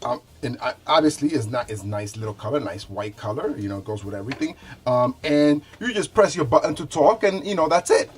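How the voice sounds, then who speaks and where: A man's voice: mid-range voice, lively intonation, fairly quick, a little hiss or hum. A man hosting a casual product review, talking conversationally to viewers and repeating himself loosely as he demonstrates items. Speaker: product reviewer, show host.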